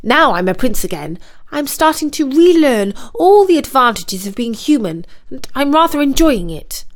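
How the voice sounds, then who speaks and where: Female narrator as young prince, earnest, anxious, plummy old-fashioned phrasing, raised pitch, storybook character. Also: very animated, moderate pace. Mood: worried.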